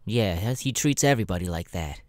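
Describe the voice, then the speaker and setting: A jaded male spider demon, played by a man for an ASMR roleplay. His pitch swings widely as he swears and drawls with weary sarcasm, recorded close and dry. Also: animated, a little fast, no room sound, close to an everyday voice. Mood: bitter, resigned. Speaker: voice actor, ASMR roleplay artist. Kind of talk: ASMR character audio roleplay.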